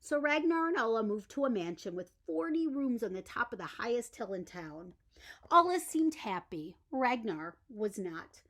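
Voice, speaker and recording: A woman reading a bedtime story aloud in an expressive storytelling style. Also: on the high side, normal speed. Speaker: storyteller reading a picture book.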